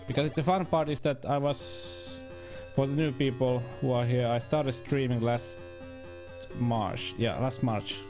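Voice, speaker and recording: A man with a Finnish accent, a native Finnish speaker, giving casual livestream commentary as he plays, haltingly and searching for words. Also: medium pitch, steady tone. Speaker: video game livestreamer. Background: game music.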